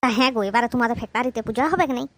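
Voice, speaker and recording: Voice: mid-range voice, a bit bright, no room sound, clean studio sound, natural voice. A voice actor playing a greedy, chatty wife and mother in a cartoon, nagging and scheming. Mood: defensive. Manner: nagging, scheming, talks fast.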